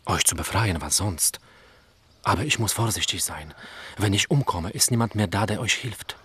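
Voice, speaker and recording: An actor playing a loyal, cautious army captain in a radio play, speaking in hushed tones. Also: male voice, low voice, moderate pace, husky, full character voice. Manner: hushed, then bursting out in alarm. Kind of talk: radio drama acting.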